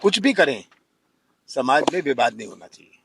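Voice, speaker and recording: A man's voice: medium pitch, sing-song, normal speed, fairly bright tone, a little hiss or hum. A man, a politician, making unscripted remarks to the press.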